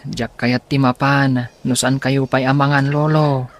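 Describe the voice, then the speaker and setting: A man's voice: mid-range voice, level tone, unhurried, natural voice. An actor playing a caring grandson in an Ilocano radio drama, patiently coaxing his elder.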